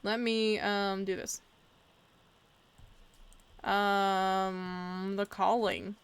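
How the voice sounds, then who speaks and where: A woman's voice: mid-range voice, little pitch movement, very slow, smooth. A young woman casually commenting as she plays a mystery game, thinking aloud and working through her guesses. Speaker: let's play streamer.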